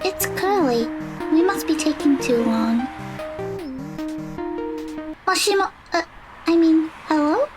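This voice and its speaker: A woman's voice: high-pitched, animated, takes it slowly, pauses often, over loud music. A woman playing a timid, sweet teenage magical girl in an audio drama, speaking hesitantly and apologetically. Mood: uneasy, earnest.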